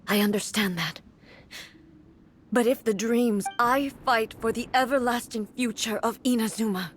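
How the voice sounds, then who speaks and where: A woman playing a grave, resolute female ruler in an anime game, speaking formally and in a measured way. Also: a little high, natural voice. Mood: solemn resolve. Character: solemn, resolute female ruler bound by duty. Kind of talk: anime game dramatic acting. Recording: clear background noise.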